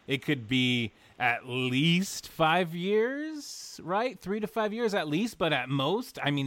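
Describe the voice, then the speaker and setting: A man's voice: fairly high pitch, fairly bright tone, no echo. A man co-hosting a podcast, talking in a rambling, laid-back, jokey way. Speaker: podcast co-host. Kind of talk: casual podcast chat.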